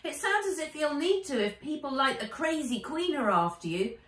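A woman's voice: sits high, lively intonation, normal speed, a bit bright, voice pushed up. A woman voicing a cheeky, curious young child. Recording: echoey room, voice a little far off.

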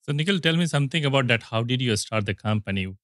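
A man's voice: mid-range voice, lively intonation, fast talker, a bit bright, no room sound, studio quality. A man in his forties hosting a business interview, asking loose, conversational questions with filler words. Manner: conversational, loose grammar, "you know" fillers. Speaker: podcast host, company co-founder. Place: conference podcast studio set, indoors. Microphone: studio mic on boom arm, wearing headphones.

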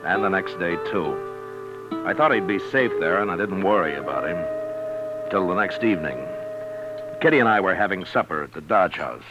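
An American actor playing a stern, steady frontier marshal, laconic and firm, in 1950s radio western style. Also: male voice, sits low, moderate pace, loud music behind the voice. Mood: grave narration. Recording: muffled 1950s radio recording.

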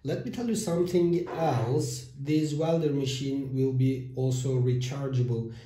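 A man in his thirties hosting a step-by-step electronics build tutorial, with halting phrasing and fillers, filmed at a table in a small room. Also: mid-range voice, mellow, a little hiss or hum. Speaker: DIY electronics channel host. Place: small room with whiteboard, indoors. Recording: echoey room.

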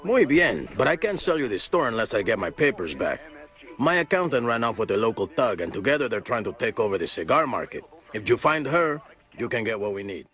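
A man's voice: mid-range voice, sing-song, a little fast, a bit bright, soft background music. An actor playing a polite, formal male cigar shop owner.

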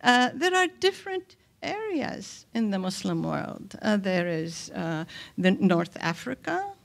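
An older woman from Lebanon speaks as a panelist at a podium microphone, lecturing in a deliberate, emphatic way with pauses mid-thought. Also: mid-range voice, very animated, gravelly. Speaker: panelist, scholar and activist. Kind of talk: public lecture at a symposium. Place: indoor hall, speaking from a podium. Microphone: microphone on a stand.

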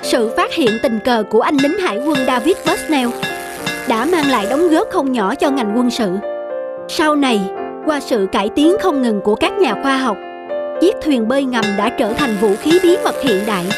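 A woman's voice: high-pitched, expressive, normal speed. A woman narrating a scripted story in a storybook style. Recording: loud music under the voice. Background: loud music.

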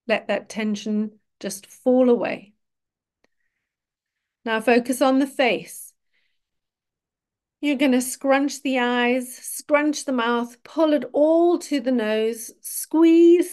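Slow-paced, higher-pitched woman guiding relaxation. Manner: instructional, gently directive. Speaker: yoga nidra teacher. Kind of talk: guided relaxation meditation.

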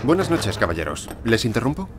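A male actor playing a self-assured, courteous gentleman archaeologist in an audio drama, formal and precise. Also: mid-range voice, big pitch swings, brisk, close to an everyday voice. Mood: coolly commanding. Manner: formal, polite, unruffled, precise. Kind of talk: audio drama acting and first-person narration. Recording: music behind the voice.

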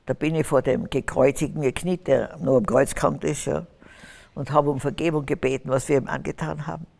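Fervent older woman, gravelly. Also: low-pitched, fairly even intonation, fairly quick, a little mellow. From Austria. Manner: fervent, preaching, rising to emphatic bursts. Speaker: guest. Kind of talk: testimony interview on stage. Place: stage with curtains, large hall. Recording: reverberant hall sound.

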